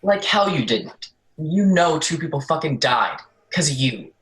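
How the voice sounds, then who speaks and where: An actor performs a scornful jail officer in an audio drama. The voice is mid-pitched and cuttingly bright. The delivery is brusque and sarcastic, like someone used to bullying inmates. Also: animated, a little slow, rough recording. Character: gruff, scornful foul-mouthed jail officer. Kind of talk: audio play acting.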